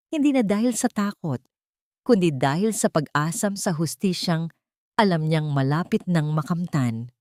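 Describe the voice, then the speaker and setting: A woman's voice: fairly low pitch, dark tone, dry recording, studio quality. A woman giving a scripted, dramatic narration of a story for an audio drama.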